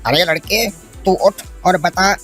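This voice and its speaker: A woman's voice: lowish voice, measured pace, fairly bright tone. A woman playing a cruel, scornful schoolteacher in a cartoon story, bossy and hectoring in the way she talks. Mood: scornful, angry. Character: cruel, scornful, greedy woman schoolteacher who terrorises children. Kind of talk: Hindi cartoon story voice acting. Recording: music behind the voice. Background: faint music.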